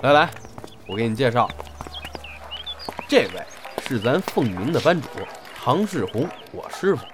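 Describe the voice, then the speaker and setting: A man's voice: mid-range voice, big pitch swings, unhurried, long pauses, over music. A young man playing a breezy, joking senior apprentice in a Chinese audio drama. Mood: breezy, proud. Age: young adult.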